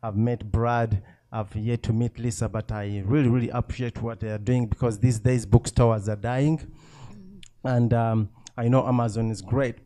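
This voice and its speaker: A man's voice: fairly low pitch, mellow. A man from Uganda, an author talking casually to a live audience, chatty and self-deprecating with many fillers and frequent laughs. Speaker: author speaking at a book event.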